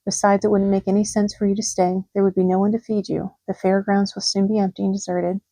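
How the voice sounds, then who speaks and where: Gentle dying spider, wise and soft-spoken, read by a woman narrator, dry close sound. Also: medium pitch, little pitch movement, fast talker, dry recording, lightly acted, rough recording. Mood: calm.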